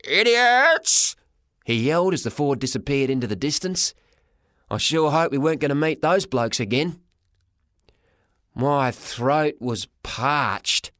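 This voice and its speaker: A man narrating a children's story in the first person as an expressive audiobook reader. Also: mid-range voice, a little slow, no room sound, clean studio sound.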